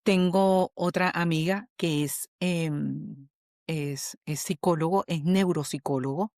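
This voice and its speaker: A Venezuelan woman, a human resources specialist, talking as a guest in an interview, animated and often checking in with "¿ok?". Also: sits low, slow, no echo, studio quality. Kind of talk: conversational interview.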